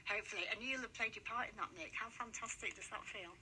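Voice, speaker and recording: A very bright, forward female voice. She hosts a local radio interview in a chatty, effusive way, repeating words for emphasis, over faint background noise. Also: on the high side, fast, low-quality recording. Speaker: radio host.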